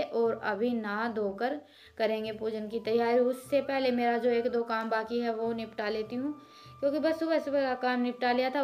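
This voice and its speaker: A woman's voice: a little high, darkish tone. Chatty village woman explaining her cooking. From Haryana, India. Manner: homely, chatty, explanatory, addresses viewers with "ji". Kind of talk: casual voice-over explaining cooking and chores.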